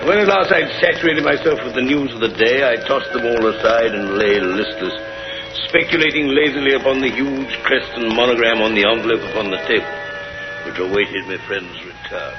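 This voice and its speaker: Dull vintage radio sound, music behind, man playing genial gentleman, formal measured storytelling. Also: medium pitch, natural voice. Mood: reminiscing. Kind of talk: 1930s radio drama acting and narration. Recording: muffled 1930s radio recording.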